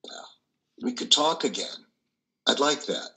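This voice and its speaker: An older actor, over sixty, playing a kindly, reclusive priest in a play reading, gentle and hesitant. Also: male voice, mid-range voice, lively intonation, measured pace. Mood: warmly inviting. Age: older, 60s or over.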